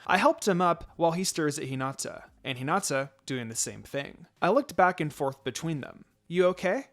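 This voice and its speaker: A man's voice: on the high side, lively intonation, normal speed, a bit bright. A man reading a first-person fan fiction story as scripted narration.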